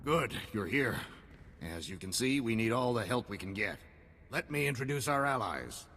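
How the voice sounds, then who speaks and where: A man's voice: very animated, normal speed, a bit bright. An actor playing a grim male field commander who gives clipped, commanding orders in a video game cutscene. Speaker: military commander. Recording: long reverb, faint rumble.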